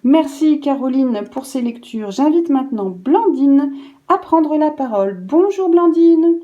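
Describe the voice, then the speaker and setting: A woman's voice: high voice, expressive, a little slow, dark tone, lo-fi sound. A woman reading a scripted radio press review as a presenter, in a formal, careful manner. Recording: roomy, echoing room sound.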